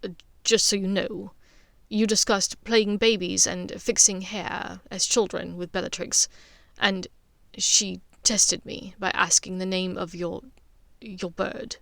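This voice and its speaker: A woman voicing an embarrassed young wizard son who talks in a halting, begrudging, awkward way. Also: mid-range voice, little pitch movement, creaky, fairly bright tone, no room sound.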